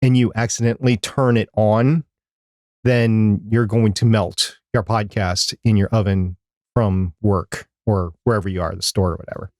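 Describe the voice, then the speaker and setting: A man's voice: fairly low pitch, steady tone, normal speed, darkish tone, dry recording. Chatty man co-hosting a podcast, dry close sound. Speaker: podcast co-host. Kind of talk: casual podcast banter.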